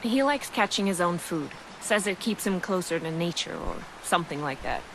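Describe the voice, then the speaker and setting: A woman's voice: lowish voice, animated, moderate pace, noisy background. An actress playing a worried, plain-spoken woman settler in a video game, talking guardedly. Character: worried woman settler telling of a missing friend. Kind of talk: video game cutscene acting.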